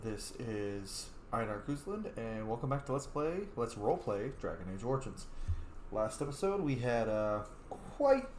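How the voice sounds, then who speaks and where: A man hosts a casual roleplay gaming session, playful and animated as he answers the characters in his own voice. The room is echoey. A faint hiss runs underneath. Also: mid-range voice. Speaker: let's play host. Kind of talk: casual gameplay commentary with voiced replies.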